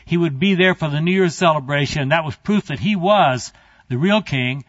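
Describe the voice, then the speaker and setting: A man with a fairly high voice teaches a Bible class, talking in an easygoing, conversational way full of asides to his listeners. The recording is dry and a little muffled. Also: normal speed, no echo.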